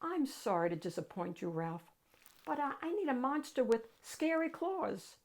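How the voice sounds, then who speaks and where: A woman's voice: high voice, very animated, normal speed, a little mellow, pitched above the performer's own voice. A woman voicing an anxious, picky child in a picture book, with an earnest, questioning manner. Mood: earnest, doubtful. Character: anxious, picky child narrator wanting a scary monster.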